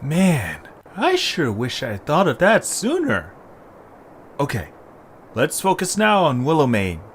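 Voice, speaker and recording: A man's voice: fairly high pitch, huge pitch swings, takes it slowly, slight background noise, heavily acted. A man playing a good-natured, weary young adventurer with a casual, exasperated manner in a fan audio drama. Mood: casual, thoughtful. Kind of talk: fan audio drama acting with storybook narration.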